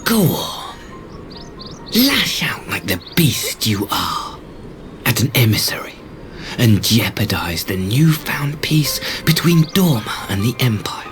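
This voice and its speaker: An actor playing a smug, fanatical imperial emissary, delivering breathy, theatrical taunts in a video game cutscene. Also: male voice, mid-range voice, very animated, slow pace. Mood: goading, reverent. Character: smug, fanatical male imperial emissary devoted to his lord. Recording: music behind the voice.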